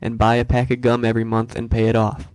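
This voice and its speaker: Flat-voiced young guy explaining credit. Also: medium pitch, fast, dry recording. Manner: joking, acts out quoted voices in asides. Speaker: co-host. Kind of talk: casual explainer chat.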